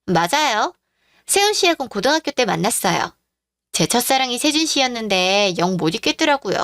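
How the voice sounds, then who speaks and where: A brazen mistress in a radio drama, played by a woman pitching her voice up, sweet on the surface and condescending underneath. She sounds shameless and self-assured, and the recording is dry. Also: big pitch swings, a little fast, fairly bright tone, no echo. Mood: smug. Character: brazen smug young mistress.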